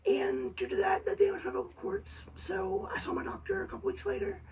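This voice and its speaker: Hoarse, gravelly young woman, very high voice, halting casual vlog delivery. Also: completely flat delivery, a little mellow. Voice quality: raspy, hoarse after vocal surgery. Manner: halting, many ums and restarts. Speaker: vlogger. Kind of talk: casual personal update. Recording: slightly distant mic with faint hiss.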